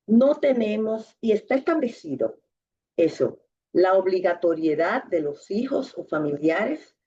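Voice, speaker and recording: A woman's voice: lowish voice, mellow, breathy edge. Earnest woman on a lo-fi call. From Dominican Republic. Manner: earnest, hesitant, with frequent fillers and restarts. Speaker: participant in an online seminar. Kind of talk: spontaneous spoken contribution. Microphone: video call. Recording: dull, lo-fi video call audio.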